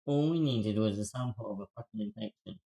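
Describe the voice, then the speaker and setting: A man's voice: medium pitch, very animated, fairly quick, vocal fry, dark tone, rough recording. A man playing a stubborn, self-sacrificing survivor in a screenplay table read, terse and understated. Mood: determined.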